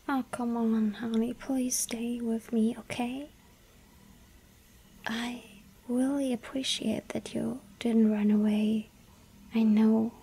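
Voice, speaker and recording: A woman's voice: fairly high pitch, full character voice, rough recording. Whispering vampire girl, voiced by a woman. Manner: breathy soft whisper, pleading. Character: lonely yandere vampire girl, sweet and hungry. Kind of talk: ASMR roleplay whispering.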